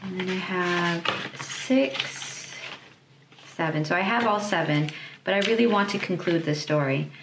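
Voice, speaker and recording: A woman illustrator chatting casually on her vlog, hesitant, often pausing and repeating words as she thinks. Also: on the low side. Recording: echoey room, clear background noise.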